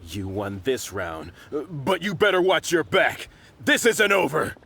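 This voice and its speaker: A sneering gravelly thug. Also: male voice, fairly high pitch, big pitch swings, measured pace, pushed and bright, heavily acted. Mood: threatening. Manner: sneering, taunting. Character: mocking male errand-boy thug, cocky.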